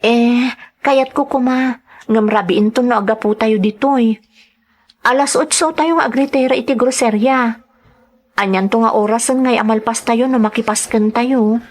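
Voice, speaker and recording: A woman's voice: a little high, steady tone, normal speed, darkish tone, lightly acted. A young woman playing an earnest daughter who tells her life story in an Ilocano radio-style drama, in a conversational manner. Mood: hesitant, teasing.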